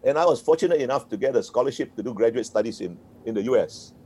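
Man, 60s, retired diplomat, interview guest, frank, wry, chuckling, mid pitch. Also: animated, fast, mellow, slightly rough. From Singapore. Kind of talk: relaxed interview answers.

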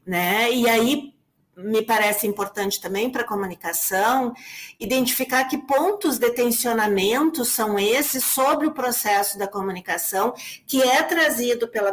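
A Brazilian woman speaking as an academic commentator in an online debate, reflective and analytical, pausing often and checking in with short tag questions. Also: a little high. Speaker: academic commentator on a research paper.

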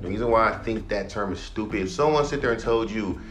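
A man's voice: fairly low pitch, fast, soft background music. Blunt, swearing man ranting on a podcast. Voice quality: monotone voice. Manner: blunt, heated, heavy swearing. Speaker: podcast host and rapper. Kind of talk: profane casual rant.

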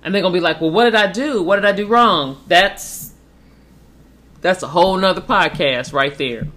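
A woman's voice: fairly low pitch, moderate pace, fairly bright tone. Blunt, profane woman giving advice. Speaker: podcast co-host. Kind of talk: casual relationship advice chat.

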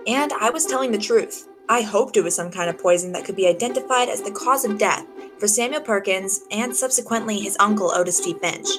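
Female private eye played by a woman in an old-time radio whodunit: wry, brisk, skeptical, mid-range voice, music underneath. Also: medium pitch, natural voice. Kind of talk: 1940s old-time radio detective acting. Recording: music behind the voice.

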